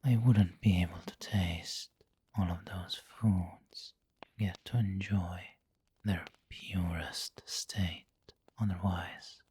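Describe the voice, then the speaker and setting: A man playing a refined, doting vampire lord in an ASMR roleplay, whispering gently and intimately with seductive, protective care. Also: deep voice, steady tone, full character voice. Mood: tender, coaxing. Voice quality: breathy, whispery. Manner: slow, gentle, intimate coaxing.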